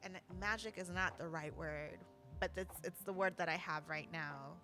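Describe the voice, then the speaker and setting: A woman's voice: deep voice, normal speed, sharp tone, faint music behind. A woman co-hosting a casual talk show, effusive and affirming, often circling back to rephrase as she searches for words. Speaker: podcast co-host. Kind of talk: casual, reflective conversation.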